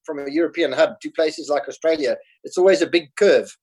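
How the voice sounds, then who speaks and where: South african guy, 50s, bit higher voice, chatty, explains stuff patiently over a video call. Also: brisk, darkish tone, slightly rough. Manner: explains patiently, laughs easily. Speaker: podcast guest. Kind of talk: casual conversation.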